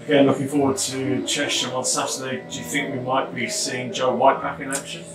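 An older man interviewing a football manager, asking brisk, leading questions. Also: mid-range voice, flat delivery, over music. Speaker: club interviewer. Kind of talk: post-match interview questions. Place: small changing room. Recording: echoey room, distant mic.

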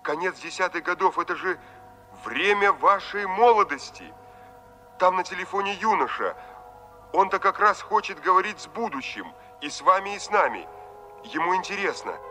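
An actor playing an attentive, businesslike male operator from the future in a Soviet radio play, brisk and official. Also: high-pitched, lively intonation, bright, forceful tone, soft background music. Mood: earnest, persuasive.

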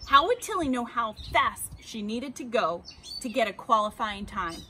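An American woman narrates a children's picture book in a fairly high, very lively voice. She takes her time, leaning dramatically on key words. She is recorded outdoors with some background noise. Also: normal speed. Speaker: read-aloud storyteller. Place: outdoors beside a house.

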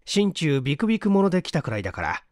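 A man reading a story aloud as an audiobook narrator, in an expressive scripted delivery. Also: a little high, fast, no room sound, studio quality.

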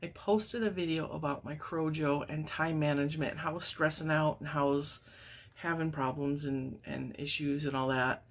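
A wheezy, congested woman in her forties talks casually to camera from her craft room, her voice sitting a little low. She laughs often and chats like she is catching up with her crochet viewers. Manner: chatty, laughs often, animated hand gestures. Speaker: crochet channel host. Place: home craft room lined with yarn shelves.

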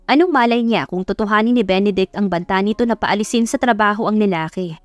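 A woman's voice: medium pitch, quick pace, dry recording. A woman with a Filipino accent reading a scripted story as an audiobook narrator.

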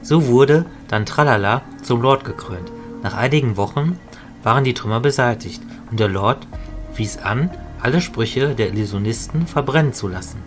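A man plays a knowledgeable mentor mage in a fantasy audio drama. He has a mid-pitched voice and explains things calmly and with measure. Music plays behind him. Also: moderate pace, close to an everyday voice. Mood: grave recounting. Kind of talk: hobby audio drama storytelling.

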